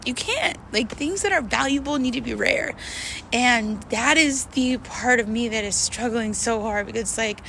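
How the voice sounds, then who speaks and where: A woman talking in a casual, confessional monologue, candid and halting, repeating words for emphasis. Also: on the high side, vocal fry, a bit bright, a little hiss or hum. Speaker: vlogger.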